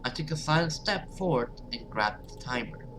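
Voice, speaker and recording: A man's voice: mid-range voice, lively intonation, moderate pace. Man doing let's-play commentary, noisy recording. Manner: informal, hesitant, alternates reading narration with reactions. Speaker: gaming video host. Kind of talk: let's-play commentary, reading game text aloud.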